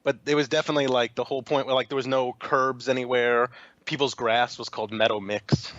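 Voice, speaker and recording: A man's voice: mid-range voice, flat delivery, brisk, a bit bright, dull audio, a little hiss or hum. A young man from Chicago, a podcast guest chatting casually with lots of fillers and restarts. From Chicago, USA. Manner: rambling, frequent fillers and restarts. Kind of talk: casual interview chat.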